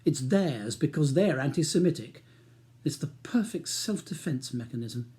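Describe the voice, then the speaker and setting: A man reading a novel, voicing an exasperated, sharp-tongued upper-class English wife with a clipped, argumentative manner. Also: a little high, lively intonation, moderate pace, close to an everyday voice. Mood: angry, exasperated.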